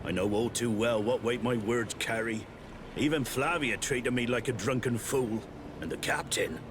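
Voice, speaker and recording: A man's voice: medium pitch, normal speed, noisy background, natural voice. An actor playing a shaken, defensive seafaring crewman in a fantasy game, rueful and old-fashioned in his turns of phrase. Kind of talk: video game dialogue acting.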